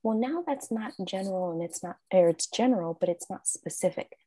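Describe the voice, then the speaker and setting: A woman's voice: medium pitch, a little fast, no room sound. A woman life coach, a guest in an interview, talking casually and acting out her own thoughts in quotes. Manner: animated, acts out her own quoted thoughts, frequent laughs.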